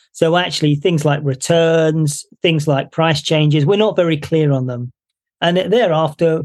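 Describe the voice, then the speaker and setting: A male podcast host with a notably high voice and a gravelly, fry-laden edge. He talks loosely, circling back and restarting sentences, and chuckles along the way. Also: darkish tone. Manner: rambling, self-correcting, laughs easily. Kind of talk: conversational interview.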